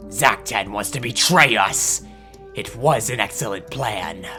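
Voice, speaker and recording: A performer voicing a cunning, cerebral robotic villain in a fan-dubbed cartoon, condescending and deliberate. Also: medium pitch, music in the background, natural voice. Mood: alarmed, scheming.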